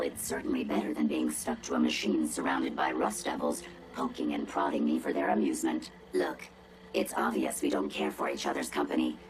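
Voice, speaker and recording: A woman's voice: very deep voice, noisy background. An actress playing a haughty, condescending female robot with a robotic filter, sardonic, precise and pompous in a video game. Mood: disdainful. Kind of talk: video game cutscene acting.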